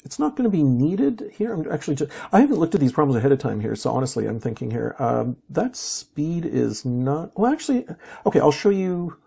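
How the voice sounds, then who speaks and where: A man with a gravelly, husky voice talks through physics problems without a script. His tone is dark and mellow, slightly hoarse, and he thinks aloud with fillers and restarts as he goes. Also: mid-range voice. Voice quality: raspy. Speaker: physics teacher. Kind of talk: unscripted worked-problem walkthrough.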